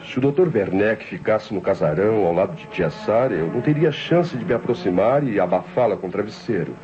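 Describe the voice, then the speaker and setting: An actor playing a cold-blooded, scheming nephew who narrates his murder plot in deliberate, theatrical 1960s radio crime drama style. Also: fairly low pitch, lively intonation, close to an everyday voice. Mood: calculating, confiding. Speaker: narrator and protagonist. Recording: muffled old radio recording with music.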